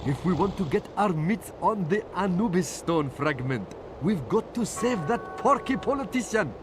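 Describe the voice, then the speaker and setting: Casting: wry streetwise schemer, very animated high voice, video game, noisy background. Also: male voice, moderate pace. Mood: urgent, wry. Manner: wry, conspiratorial. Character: scheming, streetwise sidekick, cheeky and urgent. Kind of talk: video game cutscene acting.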